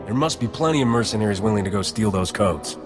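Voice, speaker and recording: An actor playing a cocky, flirtatious space smuggler in a video game, with a casual, roguish delivery. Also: male voice, fairly low pitch, animated, quick pace, over music, close to an everyday voice. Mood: businesslike. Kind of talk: video game cutscene acting.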